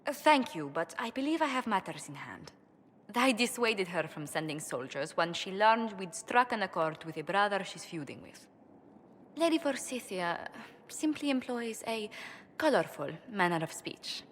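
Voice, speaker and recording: An actress playing a poised, gracious noble diplomat in a game, speaking with polished formality. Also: female voice, medium pitch, big pitch swings, moderate pace, a bit bright, a little hiss or hum. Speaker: ambassador and diplomat.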